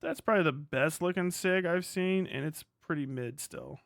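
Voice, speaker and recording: A man's voice: on the high side, moderate pace, gravelly, no echo, clean studio sound. A man giving casual, profane livestream commentary in an exasperated, ranting manner. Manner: ranting, exasperated, heavy swearing. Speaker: livestream host reacting to videos.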